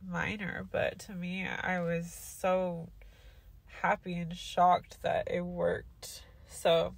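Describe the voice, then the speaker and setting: A woman's voice: on the low side, little pitch movement, takes it slowly, gravelly, no echo. A young woman talking into her phone in a parked car, nasal and congested after sinus surgery, loopy and drowsy from medication. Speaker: vlogger. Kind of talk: casual recovery vlog. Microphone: phone at arm's length.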